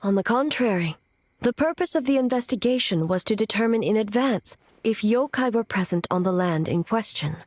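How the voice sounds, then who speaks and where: A woman playing a composed, diplomatic official in a video game, explaining in a formal, measured way. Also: fairly high pitch, lively intonation, no echo. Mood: calm, firm.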